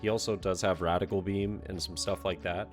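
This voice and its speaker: A young man with a deep, low-pitched voice that sounds stuffed up and congested. He talks through a card game in a loose, relaxed way, full of "you know" and "uh," and sometimes points out his own mistakes. Soft music plays quietly underneath. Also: fairly quick. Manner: relaxed, filler-heavy, self-critical play-by-play. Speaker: card game player and commentator. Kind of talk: casual commentary over a recorded match.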